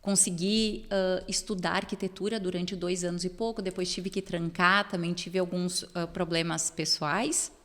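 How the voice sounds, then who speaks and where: German-born southern Brazilian woman, low voice. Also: fairly even intonation, normal speed, hardly any pauses. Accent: southern Brazilian Portuguese with German roots. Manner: storytelling, reflective, warm affirmations. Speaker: podcast co-host. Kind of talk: casual podcast conversation. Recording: long hall reverb.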